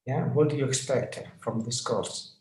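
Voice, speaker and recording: A man's voice: medium pitch, moderate pace. A man leading an online class, talking unhurriedly with many fillers. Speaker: lecturer. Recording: echoey video call with hiss.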